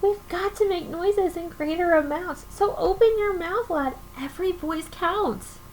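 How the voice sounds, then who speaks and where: A tiny, anxious storybook mayor, acted by a woman, calling out in a high voice. He is urgent and rallying, with faint noise behind him. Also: measured pace, poor audio. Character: small, anxious, rallying male town mayor.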